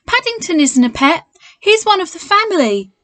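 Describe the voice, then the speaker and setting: A woman's voice: very high voice, big pitch swings, moderate pace, sharp tone, voice pushed up, exaggerated voice. A woman voicing a loyal, eager, outspoken boy in a children's storybook. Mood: indignant. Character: loyal, keen boy on a family outing.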